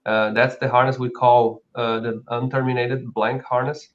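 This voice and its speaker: A man's voice: mid-range voice, flat delivery, moderate pace, highs cut off. A man from Brazil with a Brazilian accent, speaking as a company founder co-hosting an informal live product talk.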